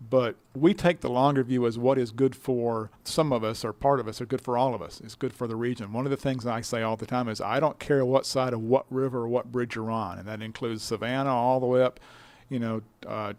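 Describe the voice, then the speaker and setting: A man with a creaky, gravelly edge talks in a radio interview. He explains things conversationally and plainly, in folksy stop-and-start phrases, recorded close and dry. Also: mid-range voice, fairly even intonation, brisk, darkish tone, dry recording. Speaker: business incubator director being interviewed.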